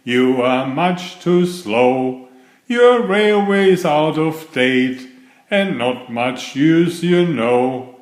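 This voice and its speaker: A man's voice: a little high, lively intonation, drawn-out words, pitched above the performer's own voice. Man as a proud storybook helicopter, echoey room. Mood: scornful. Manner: haughty. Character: proud, boastful male helicopter. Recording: roomy, echoing voice recording.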